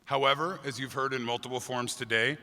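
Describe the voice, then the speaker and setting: A middle-aged man, a teacher, giving an earnest graduation speech with a booming voice and light jokes for the crowd. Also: steady tone, a little fast, pushed and bright. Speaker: teacher giving commencement address. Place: large convention hall. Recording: long hall reverb from a PA.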